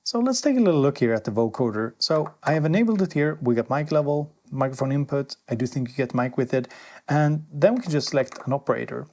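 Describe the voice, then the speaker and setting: A man's voice: mid-range voice, lively intonation, fast. A man talking casually as he hosts a hands-on product demo and review. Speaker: synth reviewer and host. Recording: loud synthesizer music behind. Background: synthesizer demo sounds.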